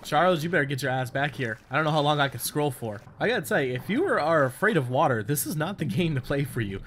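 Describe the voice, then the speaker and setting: Excitable higher-voiced man swearing through a game. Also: fast talker, a little hiss or hum. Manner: profane, excitable, talks to the game character. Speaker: gaming video host. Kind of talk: casual game playthrough commentary. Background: game audio of boat and water.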